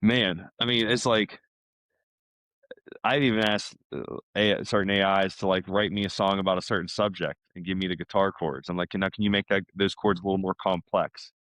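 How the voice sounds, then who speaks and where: Casting: American man, middle-aged, low register, loose chatty storyteller, dry sound. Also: fairly even intonation, normal speed, a bit bright, dry recording. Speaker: podcast guest, salesman. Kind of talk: casual chat.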